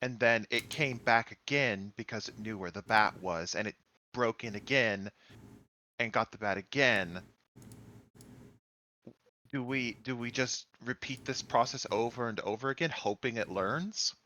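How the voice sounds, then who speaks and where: A man playing a tabletop game, talking quickly and repeating words as he goes. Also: mid-range voice, pushed and bright, a little hiss or hum. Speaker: player.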